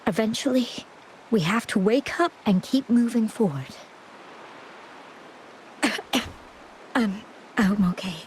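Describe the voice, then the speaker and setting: An actress playing a gentle, ailing young woman in a video game, soft-spoken and hesitant in her delivery. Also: mid-range voice, a little hiss or hum, close to an everyday voice, rough recording. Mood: weary, resigned. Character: frail, gentle ill young woman, former landscape painter. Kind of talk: dramatic video game voice acting.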